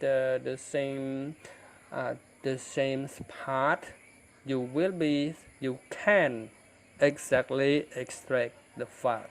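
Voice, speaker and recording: A man, an English speaker, talking through a software tutorial in a halting way, with many fillers and restarts. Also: medium pitch. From Cambodia. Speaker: tutorial teacher. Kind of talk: screen-recorded software tutorial.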